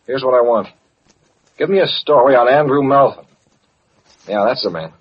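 An actor playing a commanding newspaper boss and crime fighter in American radio drama, brisk and authoritative. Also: male voice, medium pitch, animated, slightly rough. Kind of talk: old-time American radio drama acting. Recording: muffled, hissy vintage radio recording.

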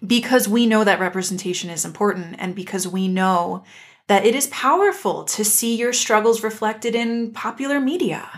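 A woman's voice: medium pitch, moderate pace. A woman co-hosting a podcast, speaking in an earnest, emphatic way in a casual analytical discussion. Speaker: podcast co-host.

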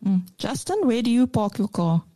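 A woman with a South African accent who hosts a radio business show, brisk and teasing. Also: on the high side, very animated, mellow. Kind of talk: bantering radio hosting.

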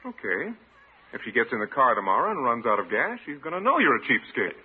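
Sarcastic young gas station owner, old radio comedy, lively highish voice, tinny sound. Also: male voice, fast talker, husky. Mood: needling. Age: young adult. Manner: sardonic, needling. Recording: tinny vintage radio, faint noise.

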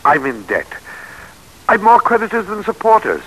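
A man's voice: high voice, huge pitch swings, moderate pace, a bit bright, exaggerated voice, poor audio. A man acting in an old radio drama as a proud, embittered 19th-century American statesman, theatrical and oratorical. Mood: agitated. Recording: vintage radio drama recording.

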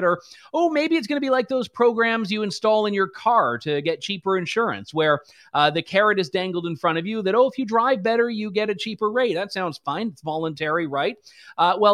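Male commentary host, high pitched, sarcastic and mocking delivery. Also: a bit bright. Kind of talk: political commentary show.

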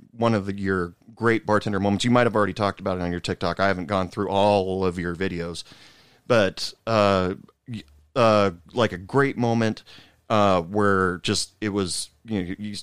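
Low, smooth-voiced man hosting a podcast. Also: no echo, studio quality. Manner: rambling, restarts questions, laughs often. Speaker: podcast host. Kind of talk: casual interview chat.

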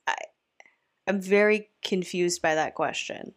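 A woman's voice: on the low side, unhurried. A woman hosting a commentary podcast, reacting to a video with a snarky, incredulous manner. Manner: snarky, incredulous, talks back to the clip.

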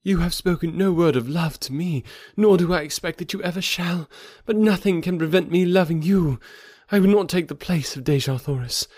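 A man's voice: fairly high pitch, normal speed, hardly any pauses, a little mellow, no echo, studio quality, voice pushed up, lightly acted. A man voicing a devoted young woman who speaks fervently in formal, old-fashioned phrasing. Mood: ardent.

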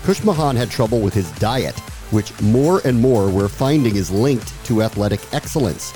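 A man's voice: on the low side, lively intonation, normal speed, mellow, faint music behind. A man hosting an interview, asking questions in a conversational, reflective way. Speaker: interview host.

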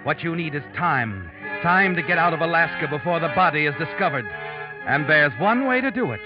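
A man's voice: fairly high pitch, animated, close to an everyday voice. Ominous old-time radio narrator played by a man, music swelling. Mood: ominous, taut. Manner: dramatic, deliberate, menacing. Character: ominous, knowing male narrator taunting a guilty man. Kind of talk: 1940s radio suspense narration in second person. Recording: dull old radio recording, loud music.

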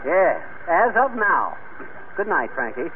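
An actor playing a blustery, fast-talking small-time showman in a radio comedy, bombastic and slangy, on a narrow, noisy vintage broadcast. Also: fairly high pitch, sing-song. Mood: smooth flattery. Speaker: lead comic actor.

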